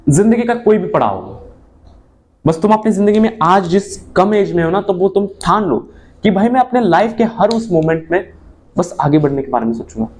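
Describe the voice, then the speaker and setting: A man's voice: animated, normal speed, darkish tone, slight background noise. Earnest young man giving life advice. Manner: earnest, mixes Hindi with English phrases, swings between emphatic and low. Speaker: motivational vlogger. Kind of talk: motivational monologue to camera.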